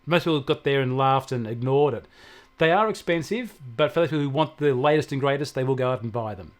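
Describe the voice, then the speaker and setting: A man's voice: mid-range voice, lively intonation, quick pace. An Australian man explaining technology in a conversational way, using everyday examples. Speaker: technology expert guest answering questions.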